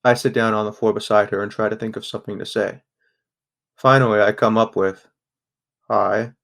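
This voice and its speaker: A young man acts a sardonic, guarded teenage boy narrating a bleak audio drama. The voice sits low and stays very even, with a subdued, first-person storytelling delivery. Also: normal speed, vocal fry. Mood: somber.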